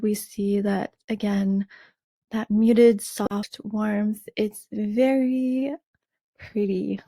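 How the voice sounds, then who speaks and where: A woman with a slightly raspy voice hosting a color analysis lesson, explaining in a measured, friendly way with some fillers and restarts. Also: medium pitch, warm tone, no echo. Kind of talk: explaining over a slideshow.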